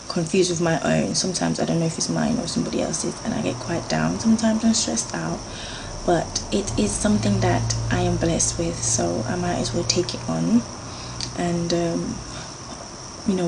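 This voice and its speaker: A woman, talking in a low, gravelly voice. She chats earnestly to her viewers and fills her sentences little fillers. Clear background noise a hum of insects runs under the recording. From Jamaica. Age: 30s. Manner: chatty and earnest, with frequent fillers. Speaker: beauty vlogger. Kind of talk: casual talk to camera, going through a list.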